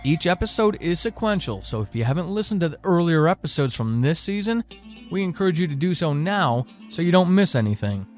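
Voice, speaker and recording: A man's voice: fairly high pitch, big pitch swings, fairly quick. A man reading a scripted podcast introduction as the announcer. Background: faint music.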